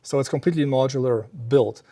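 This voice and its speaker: A man giving an informal technical explanation of a product as a company representative, measured and explanatory, pausing between phrases. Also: medium pitch, warm tone. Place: exhibit room at an audio trade show.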